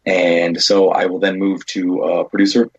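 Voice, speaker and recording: A man chatting casually as an interview guest about his work as an independent filmmaker, halting and often interrupting himself. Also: sits low, flat delivery, husky, no echo. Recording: dull lo-fi audio.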